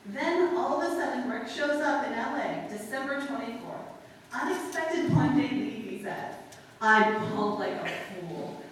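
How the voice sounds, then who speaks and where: A woman's voice: on the high side, expressive, moderate pace, a little hiss or hum, close to an everyday voice. A woman acting a tough, slangy war widow who talks bluntly and impatiently, in a live old-time radio play. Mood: tearful. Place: live stage performance. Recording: echoey hall, mic heard from far.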